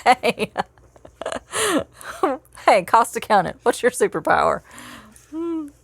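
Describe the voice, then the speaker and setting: A woman's voice: fairly high pitch, big pitch swings, takes it slowly, gravelly, dry recording. A middle-aged woman co-hosting a casual podcast, talking in a loose, giggly way. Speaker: podcast co-host.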